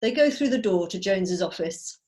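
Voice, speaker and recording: A woman's voice: mid-range voice, lively intonation, normal speed, a little mellow. A woman giving scripted narration, reading out stage directions on a video call.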